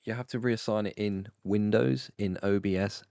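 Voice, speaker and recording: A man with a British accent and a low voice chats as he games with friends. He is argumentative and needles people, and the sound is close and dry. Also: steady tone, normal speed, vocal fry, a little mellow, no room sound. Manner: teasing, argumentative. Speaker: gamer friend on a voice call. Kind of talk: casual banter over a game stream.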